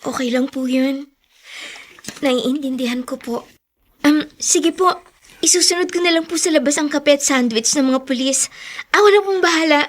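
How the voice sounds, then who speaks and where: A woman's voice: high-pitched, normal speed, long pauses, close to an everyday voice. A woman playing a meek, polite young housemaid with hidden defiance in a Filipino radio drama. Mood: polite, deferential. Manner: polite, hesitant.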